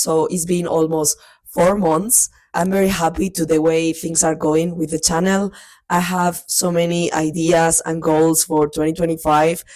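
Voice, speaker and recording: A woman's voice: sits low, darkish tone, dry recording. A woman, recovering from a cold, chatting casually about books in her family home, often restarting her sentences. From Spain. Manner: chatty, with frequent restarts and fillers. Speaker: book vlogger. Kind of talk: casual chat. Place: family house, indoors.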